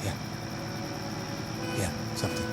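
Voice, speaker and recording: Music swells behind a troubled army lieutenant acted by a man in a war radio play. He speaks very slowly and haltingly, like an officer shaken by his conscience. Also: lightly acted. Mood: pleading, troubled. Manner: hesitant, halting. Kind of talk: radio drama acting.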